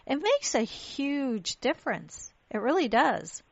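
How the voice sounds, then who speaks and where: A woman's voice: high voice, big pitch swings, slow pace, vocal fry, lo-fi sound, slight background noise. A woman born in Pakistan hosting a radio talk show, speaking earnestly in casual chat and often restarting her sentences.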